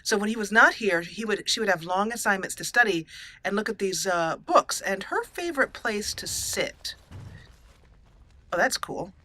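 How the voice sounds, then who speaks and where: Bright-voiced woman narrating a roleplay playthrough. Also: mid-range voice, lively intonation, moderate pace. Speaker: gaming channel host. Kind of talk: roleplay let's play narration, storytelling.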